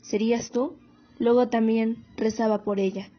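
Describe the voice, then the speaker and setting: Radio drama mother woman acting: devout, worried for her soldier child, raised pitch, lo-fi sound with music. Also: fairly even intonation, measured pace. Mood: pleading, sorrowful. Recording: dull lo-fi recording, music underneath.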